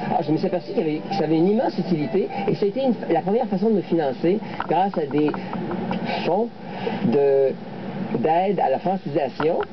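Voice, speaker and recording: A man's voice: a little high, lively intonation, fairly quick, background noise. A man with a Quebec French accent explaining things casually as an outdoor project organizer. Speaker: filmmaker, urban sugar bush organizer. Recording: dull sound, loud music behind.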